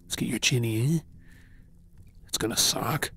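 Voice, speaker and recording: An Australian man playing a kind, reassuring rescuer in an intimate ASMR role-play, speaking softly and soothingly. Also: medium pitch. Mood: soothing, tender. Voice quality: soft, breathy. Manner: gentle, soothing, with pauses. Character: kind, reassuring male rescuer tending an injured woman.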